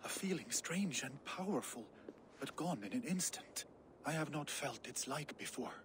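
An actor playing a weary, resolute older Norse warlord in a video game, brooding and dramatic. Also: male voice, fairly high pitch, expressive, normal speed, a bit bright, slight background noise. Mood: awed, reflective. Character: weary grey-bearded old Norse warlord, searching, resolute. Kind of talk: video game cutscene acting.

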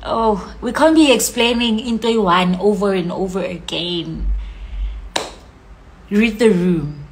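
A woman's voice: medium pitch, slow pace, slight background noise, poor audio. A young South African woman chatting casually on a phone live stream from a small room, reading out comments with a playful manner that drops into whispers. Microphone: phone at arm's length.

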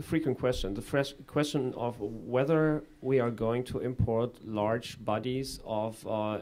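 A man in his thirties answering an audience question on a conference panel, measured and full of small fillers, into a table microphone in a conference room. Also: mid-range voice, a little mellow. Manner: measured, with frequent fillers and restarts. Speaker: panelist presenting a project.

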